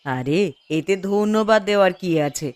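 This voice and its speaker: A woman's voice: medium pitch, expressive, moderate pace, dry recording, close to an everyday voice. A woman voicing a caring but nagging village wife in a cartoon story, chiding and full of questions. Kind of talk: Bengali cartoon story voice acting.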